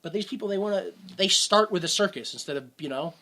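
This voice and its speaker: Man, bright tone, casual podcast chat, rambling and sarcastic. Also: high-pitched, lively intonation. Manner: rambling, sarcastic, quick asides. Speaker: podcast co-host.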